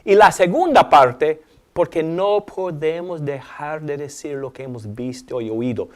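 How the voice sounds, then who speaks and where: Man, Alabama accent, native English speaker, high voice, animated Bible teacher, asks lots of questions. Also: a little slow. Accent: American English (Alabama). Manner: animated, asks questions, uses sound effects. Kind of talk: Bible study discussion.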